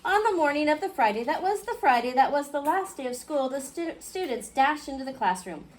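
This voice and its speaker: A woman reading a picture book aloud to a class, expressively, pausing to question her young listeners. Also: high-pitched, animated. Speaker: children's storybook reader. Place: indoor school classroom. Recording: clearly noisy classroom recording.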